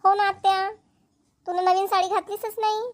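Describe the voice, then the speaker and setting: A woman's voice: very high voice, flat delivery, normal speed. A woman voicing a chatty, fussy young village girl in a cartoon comedy, with a Vidarbha Marathi accent. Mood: chatty, frank.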